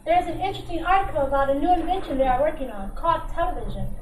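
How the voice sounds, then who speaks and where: Woman acting a very high, girlish sweet blonde. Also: very fast, natural voice. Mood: bright, chatty. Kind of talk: old-time radio drama acting. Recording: roomy, distant mic with faint noise.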